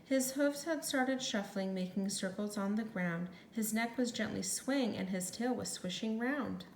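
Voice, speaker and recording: A woman with a mid-pitched voice reads a rhyming picture book for children, telling it expressively. The room echoes around her and there is some background noise. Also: measured pace. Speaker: story reader. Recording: roomy echo, clear background noise.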